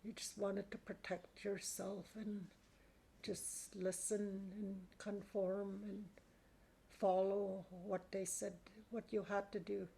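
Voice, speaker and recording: A woman, a residential school survivor, speaking earnestly in a slow, halting personal testimony. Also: mid-range voice, little pitch movement, gravelly, mellow, a little hiss or hum.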